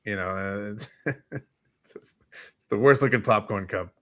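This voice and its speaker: A man's voice: lowish voice, a little slow, fairly bright tone, no echo. A man co-hosting a talk show in casual, rambling chat, hesitant and often repeating his words. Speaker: co-host of a geek culture morning chat show.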